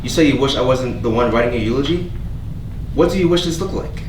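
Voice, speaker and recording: A man playing a gentle, hopeful, quietly wounded character who speaks with halting sincerity in a staged play reading. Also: mid-range voice, lightly acted. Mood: earnest, reassuring. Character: gentle, earnest, hopeful stranger named Avery, quietly wounded. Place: theatre staged reading, recorded from a distance. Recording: echoey room, distant mic, background noise.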